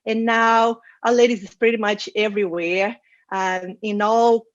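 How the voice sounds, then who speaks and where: A woman's voice: mid-range voice, steady tone, a little slow, no room sound, muffled recording. A woman from Brazil with a Brazilian Portuguese accent gives an informal conference presentation in a conversational, somewhat hesitant way. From Rio de Janeiro, Brazil. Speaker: conference speaker, data science manager.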